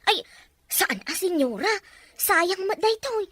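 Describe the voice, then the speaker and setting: A woman playing an excitable, sweet young woman who gushes and exclaims in a melodramatic audio drama. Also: extremely high pitch, slow, dry recording, clean studio sound, full character voice. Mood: chatty, eager. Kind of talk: melodramatic radio-style drama acting.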